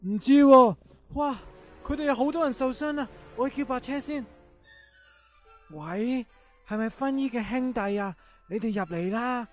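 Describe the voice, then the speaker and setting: A man's voice: extremely high pitch, normal speed, long pauses, soft background music. A man acting a timid, submissive guard who is eager to please, in an amateur radio drama. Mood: alarmed.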